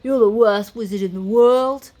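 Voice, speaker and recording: A woman's voice: on the high side, normal speed, bright, forceful tone. A woman voicing a fierce, hot-tempered male warrior with a Scottish accent, blustering and roaring in a storybook. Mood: scornful.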